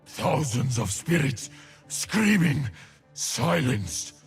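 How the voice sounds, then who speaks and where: A man playing a solemn, stern shaman warrior in a video game, with a gravelly voice and a slow, dramatic delivery. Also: mid-range voice, very animated, faint music behind, full character voice. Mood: grave, accusing. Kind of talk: video game character voice lines.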